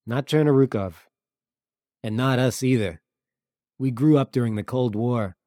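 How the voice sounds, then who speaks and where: A man's voice: medium pitch, a little slow, mellow, dry recording, clean studio sound, voice pushed up, lightly acted. A man voicing a cynical, guarded Crimean local in an audiobook, speaking bluntly and emphatically. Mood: resigned. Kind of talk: audiobook character dialogue.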